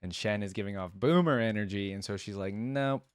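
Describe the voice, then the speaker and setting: A man with a fairly low voice hosts a casual reaction video. He rambles informally as he thinks aloud, and the sound is very dry, with no room echo. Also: brisk, clean tone.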